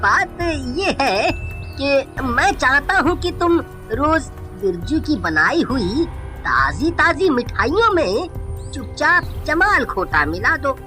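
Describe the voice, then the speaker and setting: Woman as scheming, slow-talking male shopkeeper, bright voice. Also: high voice, exaggerated voice. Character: scheming, envious male sweet-shop owner, given to fretting. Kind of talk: animated moral-story voice acting. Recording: music behind the voice.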